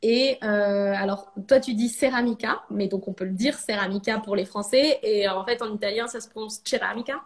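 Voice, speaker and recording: A woman's voice: mid-range voice, fairly quick, few pauses. A French woman in her thirties, a ceramic artist, talking casually in a podcast interview, hesitant with many fillers and restarts. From France.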